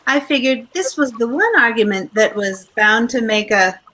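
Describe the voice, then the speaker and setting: An actress playing a poised, clever detective's wife, coolly wry and teasing, in 1940s radio drama style. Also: female voice, a little high, lively intonation, normal speed, sharp tone. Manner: coolly wry, matter-of-fact.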